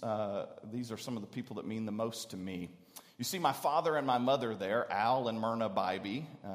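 Man preaching in a reverberant hall. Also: lowish voice. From Tennessee, United States. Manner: dramatic, earnest delivery with deliberate pauses and big swells. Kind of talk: storytelling sermon with dramatic scripture recitation. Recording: echoey hall with long reverb.

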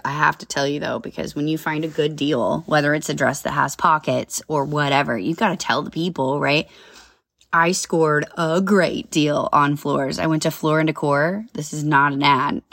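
A woman's voice: deep voice, creaky, fairly bright tone, dry recording, clean studio sound. A woman in her thirties hosting a casual solo podcast, chatty and upbeat with lots of asides, sounding a little nasal and congested. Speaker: podcast host.

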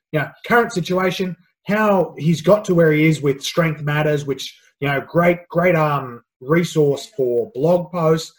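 A man's voice: fairly high pitch, rough recording. A man hosting a podcast, upbeat and loose, with lots of fillers. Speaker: podcast host.

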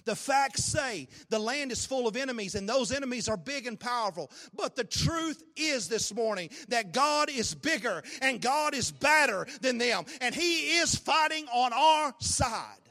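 A man preaching a sermon with a hoarse, raspy voice, swinging between low talk and shouted, sung-out peaks. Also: animated, measured pace, hardly any pauses. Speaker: pastor. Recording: long hall reverb.

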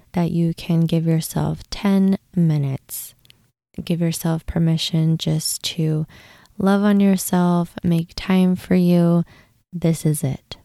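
A woman leading a guided meditation in a gentle, unhurried manner, with breathy, hushed moments. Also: fairly low pitch, dry recording, clean studio sound. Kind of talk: guided meditation, soothing instruction.

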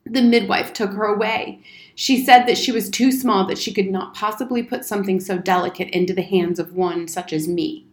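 A woman's voice: medium pitch, steady tone, moderate pace, lightly acted. Woman playing a pompous, blustering old soldier, echoey room. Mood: defensive, pleading. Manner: pompous, wordy, emphatic. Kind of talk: storybook character reading. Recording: roomy, echoing indoor sound.

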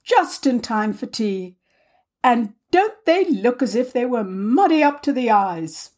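A woman voicing a brisk, cheerful, middle-aged English hostess in a storybook reading. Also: a little high, sing-song, darkish tone, heavily acted. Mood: bright excitement. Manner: brisk, bustling. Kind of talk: storybook character voice in audiobook reading.

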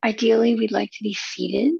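Gravelly, even-toned woman guiding meditation. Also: fairly high pitch, no room sound, highs cut off. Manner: soothing, unhurried instruction with short phrases and long pauses. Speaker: meditation guide. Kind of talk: guided relaxation meditation.

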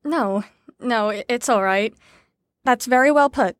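Actress as a wry, higher-voiced female lecturer. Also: a little slow, no room sound, lightly acted. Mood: didactic, patient. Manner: didactic, wry, articulate. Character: brisk, sarcastic female teacher explaining psychology theories. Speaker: lecturer leading a group lesson. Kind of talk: audio drama acting, lecturing.

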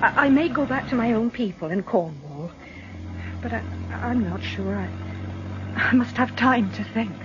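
An actress playing a hesitant, proud country widow in a radio drama, speaking in a halting, subdued way. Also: female voice, a little high, animated, natural voice. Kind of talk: classic radio drama acting. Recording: dull, noisy vintage radio recording.

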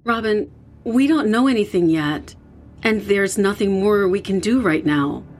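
Slow-spoken, anxious, consoling young woman acted out. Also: mid-range voice, animated, a little mellow, slight background noise, lightly acted. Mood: anxious, reasoning. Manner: hesitant, soothing. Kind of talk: horror audio drama acting. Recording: scary music under the voice.

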